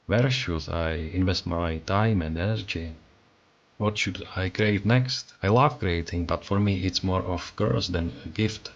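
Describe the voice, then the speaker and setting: Low-voiced Czech-accented man, earnest. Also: a little slow, lo-fi sound. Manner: earnest, reflective, self-critical. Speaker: artist and channel creator. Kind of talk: scripted voice-over narration.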